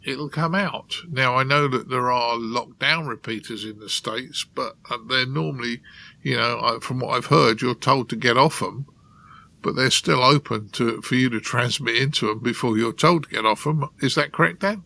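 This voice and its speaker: A man's voice: medium pitch, normal speed, vocal fry, pushed and bright, slight background noise. A man hosting a podcast panel in casual conversation. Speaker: podcast host.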